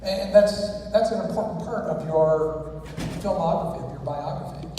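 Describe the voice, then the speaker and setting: A man's voice: on the high side, normal speed, warm tone. A man moderating a convention Q&A panel, enthusiastic and addressing the audience. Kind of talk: convention Q&A interviewing. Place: large convention hall. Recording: echoey hall, distant mic, noisy.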